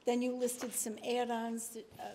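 A woman's voice: on the high side, completely flat delivery, a little slow, mellow, a little hiss or hum. A woman in the audience at a forum, politely and carefully asking a question about figures.

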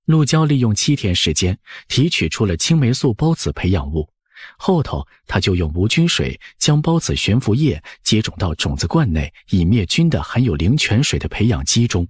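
A man's voice: lowish voice, big pitch swings, normal speed, slightly rough, no echo, clean studio sound. A man narrating an audiobook in a scripted storytelling style.

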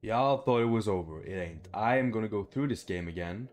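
A man's voice: on the low side, animated, brisk, a little hiss or hum. A young man giving casual, informal let's play commentary, thinking aloud and swearing as he plays. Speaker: gaming video host. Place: small room indoors at home.

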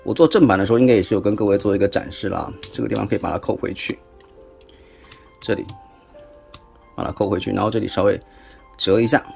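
A man's voice: lowish voice, quick pace, gravelly, pauses often, a little mellow, faint music behind. A man with a Taiwanese accent giving a chatty, casual hands-on toy review, often tossing in rhetorical questions. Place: indoors at a tabletop.

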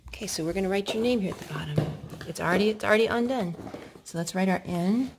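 A woman's voice: mid-range voice, fast, background noise. A woman chatting casually with small children and narrating a craft in an encouraging, sing-song way, recorded on a handheld phone at home. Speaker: parent hosting a children's craft video. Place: indoors at home, wood-floored living room. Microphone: handheld phone filming.

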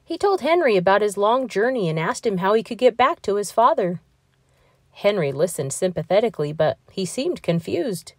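Woman reading a children's story with lively pitch. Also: dry recording, clean studio sound. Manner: expressive, deliberate storytelling. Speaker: storybook reader. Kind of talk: children's read-aloud narration.

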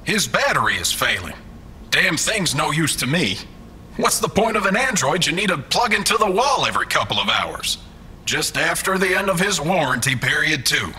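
Condescending, callous boss villain, sci-fi drama; higher, sharp, theatrical. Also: male voice, very animated, normal speed, slight background noise, heavily acted. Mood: smug, mocking. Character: smug, callous male villainous boss, mockingly polite. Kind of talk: science-fiction audio drama acting.

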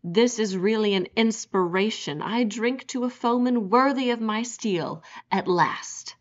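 A woman's voice: fairly high pitch, measured pace, voice pushed up, natural voice. A woman voicing a suave, menacing aristocratic general with a genteel, relishing manner, on a dull lo-fi recording. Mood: courtly, menacing.

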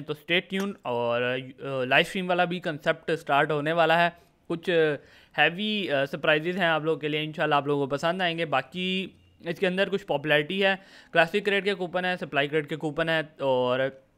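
Higher-pitched Pakistani man, casual game commentary. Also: a bit bright, clear, resonant tone. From Lahore, Pakistan. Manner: informal, mixes in English words. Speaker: gaming video host.

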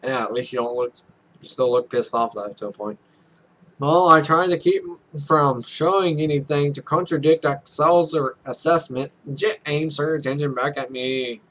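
Young male let's player, bright and slightly high voice, casually reads visual novel text with stumbles and offhand swearing. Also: moderate pace, rough recording. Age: young adult. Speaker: let's play host. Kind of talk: reading a visual novel aloud with casual commentary.

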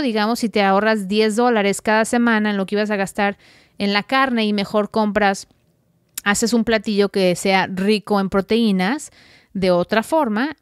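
A woman's voice: mid-range voice, smooth, dry recording, clean studio sound. A woman hosting a podcast, giving practical money-saving tips in a friendly, chatty, direct way. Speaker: podcast host. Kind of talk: casual advice podcast monologue.

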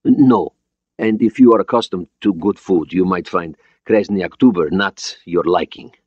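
A man's voice: low voice, a little mellow, slightly rough, no room sound, dull audio. Man acting a formal Russian-accented Soviet naval officer. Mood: dry. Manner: formal, halting, carefully worded English.

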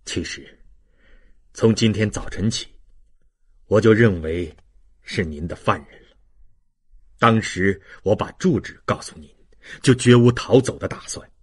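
A man voicing a grave, weary fugitive in an audiobook, resigned and dignified, speaking solemnly and deliberately. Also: on the low side, slightly rough, voice pushed down.

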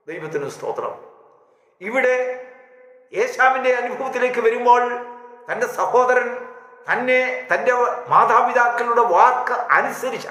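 A man's voice: very high-pitched, sharp tone. A man preaching a devotional sermon, telling stories and exhorting emphatically in short, repeated phrases. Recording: echoey reverberant hall sound.

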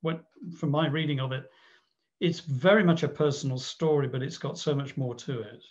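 A man's voice: mid-range voice, moderate pace, a little mellow, lo-fi sound. A man hosting a podcast interview over a video call, asking questions and giving brief affirmations. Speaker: podcast host.

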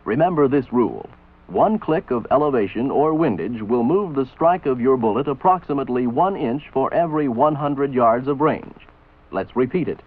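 A man's voice: mid-range voice, lively intonation. Tinny old training-film American male narrator. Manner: authoritative, measured, didactic. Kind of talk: scripted instructional narration. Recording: tinny band-limited old film soundtrack. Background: voice-over for an old military training film.

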